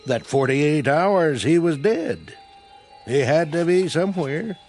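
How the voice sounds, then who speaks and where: Slow, drawling country gossip. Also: male voice, a little high, animated, a little mellow, faint music behind, full character voice. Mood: suspicious, insinuating. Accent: rural American. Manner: folksy, drawling, insinuating. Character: suspicious small-town country man trading dark gossip. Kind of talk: radio drama acting.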